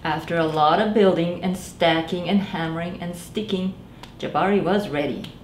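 A woman's voice: fairly low pitch, moderate pace. A woman in her thirties, a librarian, reading a picture book aloud to children and doing the characters' voices. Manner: animated, voices characters, addresses children directly. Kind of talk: children's storytime read-aloud. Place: small library room indoors. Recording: echoey room with faint background noise.

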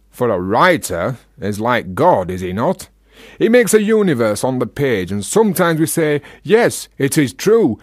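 Provocative Yorkshire freethinker. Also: male voice, mid-range voice, dry recording, clean studio sound. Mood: musing, menacing. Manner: rhetorical, provocative. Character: talkative Yorkshire freethinker, teasing and philosophising. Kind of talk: radio play acting.

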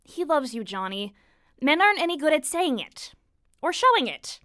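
A woman playing a caring, fretful young girl in a nostalgic period audio drama, gentle, hesitant and reassuring with a friend. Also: high voice, very animated, normal speed, no echo, clean studio sound, heavily acted. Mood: gently reassuring.

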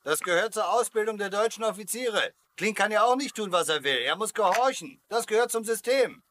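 An actor playing a cool, scheming American officer in a sitcom dub, glib and full of mock innocence. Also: male voice, sits high, expressive, a little fast, a bit bright, slight background noise. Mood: mock-innocent, ironic. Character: cheeky, cool, scheming American colonel, prisoners' leader. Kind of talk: dubbed sitcom acting.

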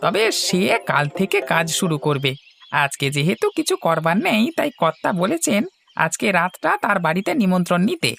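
A woman's voice: fairly low pitch, very animated, normal speed, creaky, fairly bright tone, faint music behind, full character voice. A woman voicing a loyal, chatty male servant in a village cartoon, deferential and folksy. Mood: eager, respectful. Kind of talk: cartoon dialogue.

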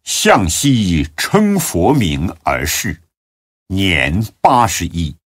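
A man with a husky voice giving a solemn, measured and dramatic narration of classical religious biography. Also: deep voice, huge pitch swings, no room sound, clean studio sound.

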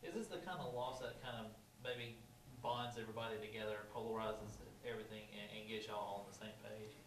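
A man's voice: on the low side, fairly even intonation, a little fast, fairly bright tone. A man, a reporter asking rambling, hesitant questions at a press conference. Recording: distant, echoey, noisy off-mic.

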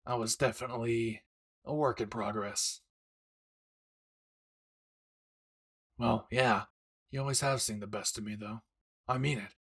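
A man playing a brash but devoted young husband and excited father-to-be in an intimate, teasing, tender audio roleplay. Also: medium pitch, normal speed, husky. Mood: warm, reflective.

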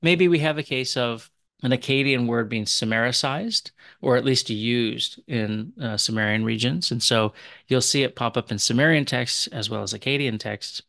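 A man's voice: medium pitch, normal speed, vocal fry, bright, forceful tone, dry recording, clean studio sound. A man teaching a recorded language lesson, explaining in a chatty way. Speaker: teacher of Aramaic.

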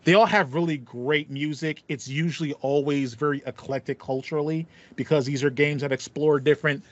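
A man's voice: on the high side, fairly even intonation, brisk. A man in his thirties chatting casually and enthusiastically as a podcast co-host, explaining things in a rambling way. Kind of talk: casual chat about video game music. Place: small home room with foam panels, indoors. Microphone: headset on a video call. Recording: slightly muffled, dry online call audio.

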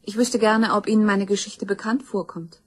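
A woman's voice: medium pitch, fairly even intonation, normal speed, slightly rough, natural voice. An actress playing a determined young woman in a crime radio play, accusing and insistent. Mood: grave, accusing. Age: young adult. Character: grim, determined young woman seeking justice for her murdered mother.

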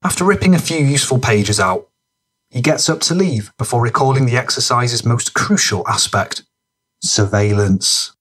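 Husky male audiobook narrator. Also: mid-range voice, lively intonation, normal speed, a bit bright. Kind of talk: scripted narration of gritty crime fiction.

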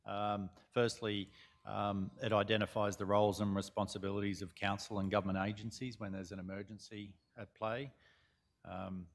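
A man, a council officer, briefing the meeting on a report in a measured way with fillers, in a large council chamber. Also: fairly low pitch.

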